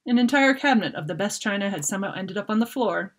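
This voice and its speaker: A middle-aged woman author reading a children's fantasy story aloud in an expressive, dramatic way. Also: mid-range voice, fast talker. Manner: animated, dramatic reader. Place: book-lined room at home.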